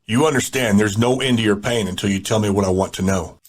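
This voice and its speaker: A man's voice: on the low side, fast talker, a little hiss or hum, natural voice. A man playing a sadistic gang boss and interrogator in an audio drama, mocking, menacing and controlled.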